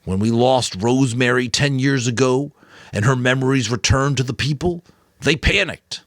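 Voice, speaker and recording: A man's voice: mid-range voice, fairly bright tone, no echo, studio quality, pitched above the performer's own voice, close to an everyday voice. A man voicing a weary but firm old mentor, grave, caring and earnest, in a dramatic audiobook reading. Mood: urgent, earnest. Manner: earnest, emphatic, deliberate.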